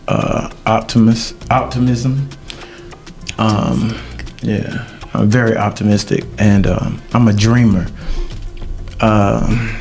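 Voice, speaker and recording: A middle-aged man hosting a podcast, talking in a halting, reflective way with many ums and uhs. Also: mid-range voice, darkish tone. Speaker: podcast host. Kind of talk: casual podcast chat.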